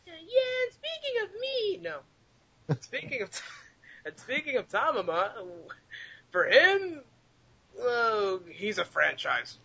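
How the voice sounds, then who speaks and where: Giggly, high-voiced young man. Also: slow pace, creaky, long pauses. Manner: giggly, sweary, excitable. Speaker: fan dub voice actor. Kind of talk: casual podcast chat. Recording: dull lo-fi recording.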